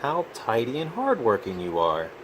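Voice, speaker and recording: A man's voice: medium pitch, huge pitch swings, normal speed, noisy background. Man voicing an earnest, fretful little hermit crab. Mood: admiring, polite. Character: polite, fretful young male hermit crab seeking a home.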